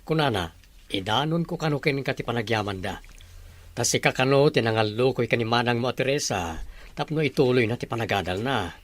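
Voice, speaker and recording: A man's voice: medium pitch, lively intonation, a little fast, natural voice. A man with a Filipino accent acting a kindly middle-aged father in a radio drama.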